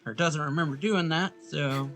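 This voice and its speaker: A man's voice: a little high, moderate pace, a bit bright. A man giving casual commentary as he plays through a video game. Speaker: gaming streamer. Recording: game music behind the voice.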